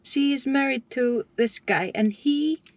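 A woman's voice: high-pitched, unhurried, no echo. A young woman from Iceland in her twenties, chatting playfully and laughing from the passenger seat of a car. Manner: laughs often, playful teasing. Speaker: passenger and co-host. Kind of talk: casual chat. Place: inside a moving car. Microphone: camera on the dashboard. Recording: car noise behind the voice.

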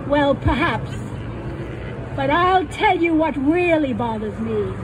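An older woman giving an animated, dramatic poetry reading on a small outdoor stage under trees, using a stage microphone on a stand. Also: slow pace, noisy background. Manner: animated, dramatic delivery with sudden leaps up in pitch. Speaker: poet.

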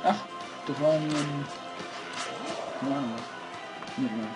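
A man's voice: medium pitch, slow, low-quality recording. A young man from Germany giving casual let's play commentary, chatting and reading game dialogue aloud. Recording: game music behind the voice.

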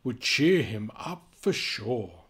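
A man's voice: mid-range voice, animated, slow pace, breathy edge, exaggerated voice. A man voicing a vain male lion from a picture book in an emphatic, self-assured way. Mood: dismissive.

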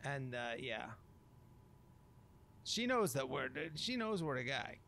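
A man's voice: medium pitch, sing-song, slow, gravelly, sharp tone, a little hiss or hum. A man giving casual, improvised gameplay commentary in slangy, grumbling talk with mild minced oaths. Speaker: gamer narrating a playthrough.

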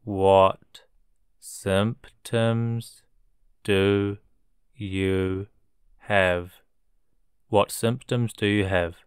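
Very slow, low-voiced man drilling English. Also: fairly even intonation, clear, resonant tone, no room sound, clean studio sound. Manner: repeats each word three times, then word by word. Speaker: English teacher. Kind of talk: slow drilled vocabulary reading.

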